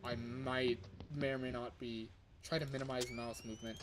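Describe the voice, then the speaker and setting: A man doing casual live gameplay commentary as a streamer, excitable and reactive, often muttering to himself as he plays. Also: medium pitch, moderate pace, noisy background. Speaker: livestreamer. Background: music.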